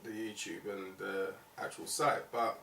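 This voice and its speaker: A man's voice: on the low side, fairly even intonation, a little slow, gravelly, pushed and bright. A man hosting a conversational music interview, speaking in a reflective, opinionated way. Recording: roomy, distant mic, faint noise.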